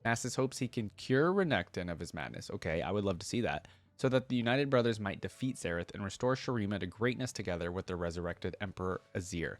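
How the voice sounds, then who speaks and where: A man's voice: sits low, expressive, quick pace, dry recording. A man hosting a reaction video, casually reading aloud and commenting as he thinks things through.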